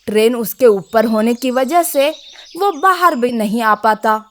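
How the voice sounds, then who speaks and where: A woman's voice: fairly high pitch, lively intonation, unhurried. A woman narrating a scripted children's story as a storyteller.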